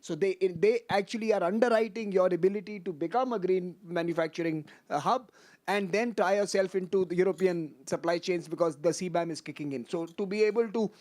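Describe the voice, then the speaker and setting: A man speaking quickly on a panel, often cutting in. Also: sits high, darkish tone. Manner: rapid, interjecting.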